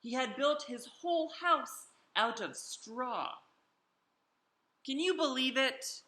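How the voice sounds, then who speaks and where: Man acting a sly storybook wolf, very animated. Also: very high-pitched, slow pace, echoey room, pitched above the performer's own voice, full character voice. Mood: mocking. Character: sly, self-justifying male wolf telling his side. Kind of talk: animated children's storybook read-aloud.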